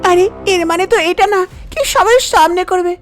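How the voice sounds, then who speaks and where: A woman's voice: very high voice, unhurried. A woman voicing a shy, fretful young bride in a cartoon, fussing at her husband. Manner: fussing, complaining. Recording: music bed under the voice.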